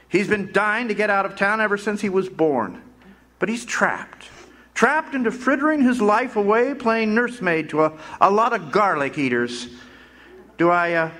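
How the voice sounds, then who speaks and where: Guy doing a smug old money man, high sharp voice, swoops up and down, talks down to you. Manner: condescending, smug, drawn-out pauses. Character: scheming, pompous old male tycoon. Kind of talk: amateur stage radio drama.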